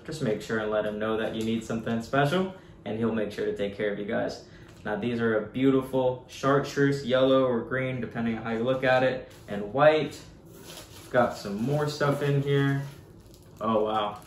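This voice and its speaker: A young man, a fishing guide, chatting easily to his viewers as he unboxes gear at his desk in a small home office. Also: mid-range voice. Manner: easygoing, chatty, addresses viewers as guys. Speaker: surf fishing guide and channel host. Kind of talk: casual unboxing vlog talk. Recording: echoey room with faint hiss.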